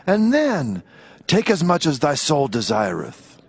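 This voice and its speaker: An earnest worshipper protesting in a scripture drama. The voice is on the high side and swings widely, with emphatic phrasing. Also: male voice, huge pitch swings, measured pace, vocal fry, breathy edge, heavily acted. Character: protesting worshipper bringing a sacrifice.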